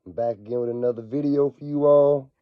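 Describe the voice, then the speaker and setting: A man with a cold, his voice scratchy and raspy, talking casually as a finance vlogger. He swings between low murmurs and excited bursts. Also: fairly quick, dry recording. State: hoarse from a cold. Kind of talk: casual video monologue. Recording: dull, lo-fi sound.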